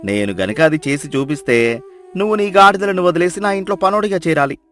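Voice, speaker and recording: Guy playing arrogant village chief, highish male voice, boastful n commanding, story drama, bit of music under. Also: lively intonation, brisk, a bit bright. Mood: indignant, boastful. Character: arrogant, gullible village headman boasting of his strength. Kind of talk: dramatised moral story dialogue. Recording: music behind the voice.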